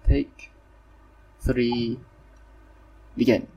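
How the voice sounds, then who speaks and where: Deep raspy guy hosting an online call, lots of ums, kinda distant mic. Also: little pitch movement, very slow, mellow, muffled recording, faint music behind. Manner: hesitant, apologetic, many fillers. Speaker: host. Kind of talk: casual online group chat.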